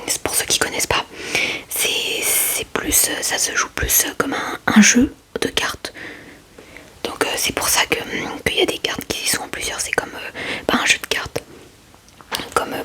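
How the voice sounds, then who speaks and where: A woman whispers a gentle, hesitant, unhurried ASMR commentary close to the microphone as she hosts. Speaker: ASMR host showing a card collection.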